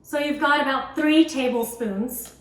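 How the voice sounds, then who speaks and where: A young woman talks brightly to camera and draws out her guest with questions. Her voice sits high and lively. The sound carries an echoey kitchen room and comes from a distance. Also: measured pace. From grew up in Indonesia, family from South India. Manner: upbeat, chatty, prompting questions. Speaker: vlogger host. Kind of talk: casual home cooking vlog chat. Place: home kitchen.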